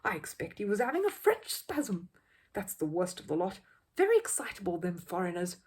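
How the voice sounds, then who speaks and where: A woman's voice: medium pitch, very animated, a little fast, husky. A woman voicing an opinionated working-class man in a street crowd for a storybook, with emphatic, colloquial, ungrammatical speech. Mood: exclaiming.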